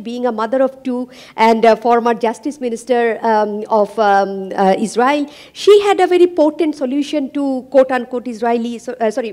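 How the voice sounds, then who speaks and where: A woman with a Bangladeshi accent giving emphatic, animated remarks as a roundtable panelist, with many fillers and restarts. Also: sits high.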